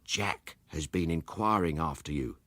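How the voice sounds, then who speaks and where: A man's voice: rumbling low, unhurried, a bit bright, no echo, voice pushed down, exaggerated voice. A man voicing a shifty young male go-between in a story, speaking in a matter-of-fact, confidential manner.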